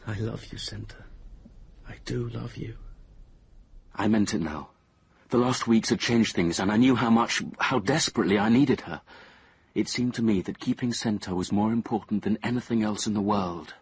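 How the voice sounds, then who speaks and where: A man's voice: lowish voice, moderate pace, husky. An actor playing an anxious, lovesick young man in a radio drama, earnest and confiding as he tells his own story. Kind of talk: radio drama acting and first-person narration.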